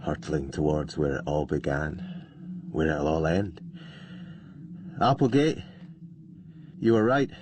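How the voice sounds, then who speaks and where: A man's voice: extremely low pitch, takes it slowly, pauses often, a little mellow, slight background noise. An actor playing a melancholy, resigned male astronaut facing death in a radio drama. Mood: resigned, aching. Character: resigned, melancholy doomed astronaut Hollis, falling alone to Earth.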